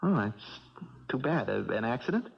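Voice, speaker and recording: A man's voice: mid-range voice, sing-song, moderate pace, vocal fry, husky, exaggerated voice. A man playing a confident, eager young American boxer with casual, colloquial speech in a 1970s radio drama. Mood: curious. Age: young adult. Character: Bobby Barlow, cocky young boxer, eager and plainspoken. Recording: dull 1970s radio broadcast.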